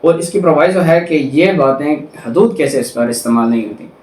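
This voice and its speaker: A man's voice: on the high side, expressive, a little fast, a little mellow. A man with a Pakistani accent giving an explanatory law lecture, didactic and repeating points for emphasis. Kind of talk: explanatory lecture, alternating reading aloud and explaining. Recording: echoey room, distant mic.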